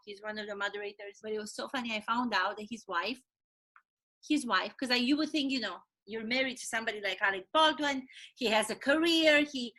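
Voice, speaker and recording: A woman hosts an interview in a chatty, gossipy way and tells stories with lively energy, slipping into other people's voices as she goes. Her voice is high and bright. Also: poor audio. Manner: animated storyteller, acts out quotes. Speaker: interview host.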